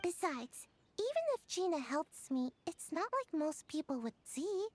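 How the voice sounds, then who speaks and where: A woman's voice: high-pitched, sing-song, measured pace, a little mellow, a little hiss or hum. A woman voicing a sleepy, lazy little ninja girl in a video game, drowsy and a bit defensive, with game music behind.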